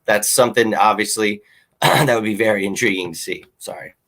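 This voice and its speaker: Slightly hoarse, rambling male fan host. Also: on the low side, fairly even intonation, normal speed, a bit bright. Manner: rambling, lots of ums and uhs; opinionated, fan-like. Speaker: host of a sports fan show. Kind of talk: casual sports talk with viewer comments.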